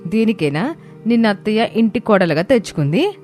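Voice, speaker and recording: A woman's voice: medium pitch, big pitch swings, fairly quick, a little mellow, soft background music, lightly acted. A woman voicing a spiteful, sarcastic younger sister-in-law, taunting in a family moral story. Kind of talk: animated moral story dialogue.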